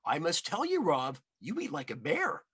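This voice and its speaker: A man voicing a kindly, chatty grandmother in her nineties from a storybook, fussy, welcoming and gently scolding. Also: a little high, huge pitch swings, normal speed, husky, heavily acted. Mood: amused. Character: kindly, chatty ninety-seven-year-old village grandmother, hard of hearing.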